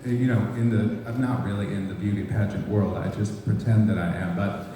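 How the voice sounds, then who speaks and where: Hesitant low-voiced man, echoey hall. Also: fairly even intonation, fairly quick, mellow, far from the mic, slight background noise. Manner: hesitant, full of ums and restarts. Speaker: actor at a press conference. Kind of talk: panel answers at a press event.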